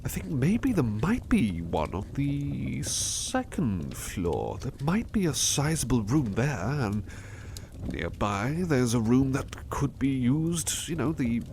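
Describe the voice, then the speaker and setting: Male actor as weary, loving manservant; ASMR intimacy, unhurried, courteous, hesitant delivery, slightly noisy ambience. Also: mid-range voice, big pitch swings, gravelly, darkish tone, full character voice. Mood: musing, relaxed. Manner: hesitant, halting, polite old-fashioned phrasing, affectionate. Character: overworked, devoted, weary butler resting affectionately on partner's lap. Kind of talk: ASMR roleplay, intimate personal attention.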